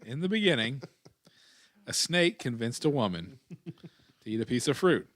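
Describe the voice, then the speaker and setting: A man with a medium-pitched voice, recorded dry and close. He riffs casually like a comedian, rambling and restarting phrases as he works toward a joke. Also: sing-song, normal speed, a bit bright, no echo. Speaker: comedian, podcast co-host. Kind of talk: casual chat, comic riffing.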